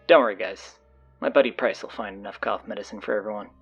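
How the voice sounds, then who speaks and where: A man playing a flustered, sardonic stand-in high school announcer, rambling hesitantly and casually through audio drama announcements. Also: fast talker, lo-fi sound, music in the background, close to an everyday voice.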